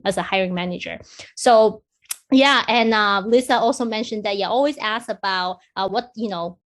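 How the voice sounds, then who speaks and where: A woman who is not a native English speaker, a hiring manager giving loud, emphatic advice on a casual panel over a video call. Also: medium pitch.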